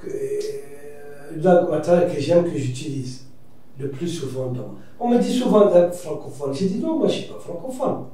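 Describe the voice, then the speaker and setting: A Mauritanian writer speaking reflectively as a debate guest, pausing and restarting often. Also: male voice, fairly high pitch, lively intonation, mellow. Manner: reflective, hesitant, many restarts and fillers. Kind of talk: debate discussion. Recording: echoey room from far off.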